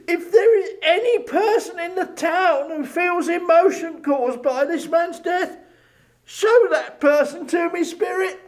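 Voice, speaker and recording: A man playing a frightened old miser pleading with a spirit in a dramatic audiobook reading. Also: very high voice. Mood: anguished pleading. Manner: theatrical, slow, pleading.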